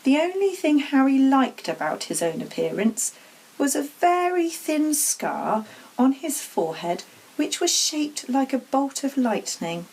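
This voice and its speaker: A woman reading a children's storybook aloud as an expressive narrator. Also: high-pitched, measured pace, poor audio. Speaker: story reader.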